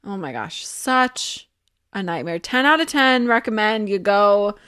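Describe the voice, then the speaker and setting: A woman's voice: mid-range voice, lively intonation, unhurried, a bit bright, smooth. A woman in her thirties co-hosting a podcast, chatting casually and animatedly with lots of filler words. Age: 30s. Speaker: podcast co-host. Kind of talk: casual chat.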